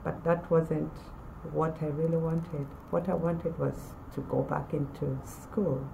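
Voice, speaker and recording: Woman in her forties, low and mellow with a gravelly edge, giving a personal testimonial. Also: level tone, lo-fi sound, slight background noise. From Uganda. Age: 40s. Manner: measured, with pauses and restarts. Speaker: former college student.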